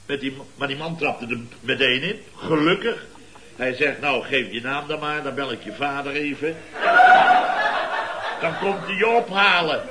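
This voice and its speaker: A man acting a pompous, excitable middle-aged boss of a building firm in an old radio comedy, exclaiming and repeating himself. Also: a little high, a little slow, background noise, natural voice. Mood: aggrieved storytelling. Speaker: comic actor in a radio comedy series. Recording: old radio recording with studio audience.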